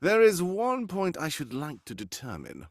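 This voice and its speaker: A shrewd gentleman detective in an old-time radio play. His fairly high voice swoops dramatically as he probes for clues, tossing in wry asides, recorded close and dry. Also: very animated, normal speed, no room sound. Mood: musing, wry. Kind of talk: 1940s radio mystery acting, performed live.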